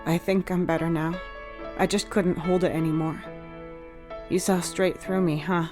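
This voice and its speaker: A woman's voice: on the low side, steady tone, moderate pace, close to an everyday voice. A woman voicing a shy, sensitive boy in a storybook, speaking hesitantly and with emotion. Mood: sheepish relief. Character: shy, tearful boy discovering a hidden girl within. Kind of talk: dramatic audiobook character dialogue. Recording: music behind the voice.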